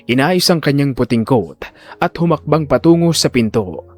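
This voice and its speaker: A man's voice: mid-range voice, expressive, normal speed. Male audio drama narrator over music. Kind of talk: scripted dramatic story narration.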